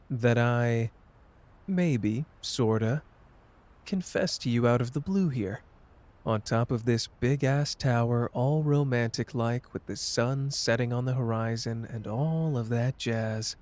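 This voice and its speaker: A man's voice: medium pitch, a little mellow, close to an everyday voice. A man playing a cocky, tender young male sorcerer in an intimate ASMR romance roleplay, with a playful, teasing, casual manner. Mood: nervous, earnest.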